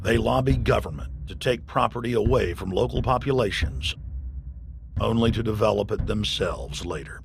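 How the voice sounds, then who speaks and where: A gravelly man narrates a hard-hitting scripted documentary. His voice sits low and sounds accusatory and insistent. There is clear noise behind the voice-over. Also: expressive, moderate pace.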